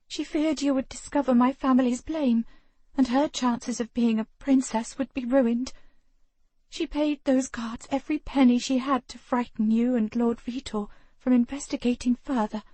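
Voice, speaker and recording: A woman's voice: on the high side, steady tone, moderate pace, mellow, dry recording, pitched above the performer's own voice, natural voice. A woman voicing a grieving young noblewoman, her speech quavering and tearful. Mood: confessing.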